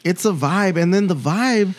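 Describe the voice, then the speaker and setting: A middle-aged musician guest on a podcast, talking in a relaxed, rambling way with many fillers. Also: male voice, sits high, moderate pace, dry recording. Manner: laid-back, rambling, many likes and restarts. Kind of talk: casual chat. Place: podcast studio, indoors. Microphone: studio mic, playing acoustic guitar. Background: acoustic guitar.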